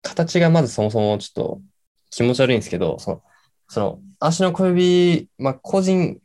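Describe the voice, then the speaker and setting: A man playing a late-night radio host who rambles haltingly in casual chat, in an amateur radio drama. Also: a little high, lively intonation, darkish tone, close to an everyday voice. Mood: musing, rambling. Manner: halting, filler-heavy, then bursts of words.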